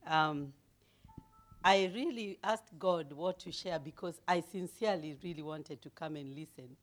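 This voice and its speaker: Middle-aged woman preacher from Kenya, deep voice, builds to loud emphatic reading. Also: a little slow. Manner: grows loud and emphatic when reading scripture; humble, laughs easily. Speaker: guest preacher. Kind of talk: sermon.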